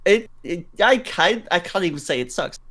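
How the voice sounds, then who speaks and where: Casual male podcast co-host, slightly high voice, storytelling with emphatic repetition. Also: expressive, normal speed, sharp tone. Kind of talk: casual podcast chat about games and films.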